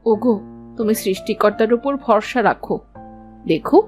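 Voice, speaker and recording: A woman's voice: mid-range voice, moderate pace, soft background music, close to an everyday voice. A woman playing a poor farmer's wife and mother in a cartoon moral tale, earnest, pious and plaintive. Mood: hopeful. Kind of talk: animated moral-tale dialogue.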